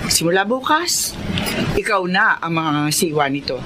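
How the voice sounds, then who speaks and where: A woman's voice: lowish voice, expressive, a little slow, over loud music. An actress playing a kindly, businesslike old woman in a radio drama. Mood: firm, trusting. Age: older. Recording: noisy, with vehicle ambience behind.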